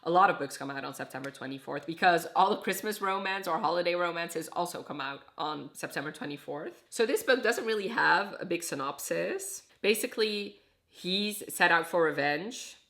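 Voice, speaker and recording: A woman's voice: fairly low pitch, moderate pace, a bit bright. A young woman from Iraq chatting casually as a book reviewer, summarizing plots with frequent self-corrections. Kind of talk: casual booktube chat.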